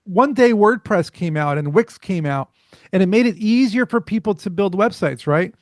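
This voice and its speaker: A man, a startup founder, talking as a podcast guest in an energetic, fluent way with rhetorical questions. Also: high voice, moderate pace, no room sound, clean studio sound. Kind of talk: casual interview chat.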